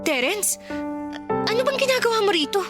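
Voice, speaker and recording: A woman's voice: very high voice, very animated, fairly quick, loud music behind the voice. An actress playing a cold, exasperated young woman confronting her ex in a Filipino radio drama, blunt and scolding. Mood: cold, annoyed.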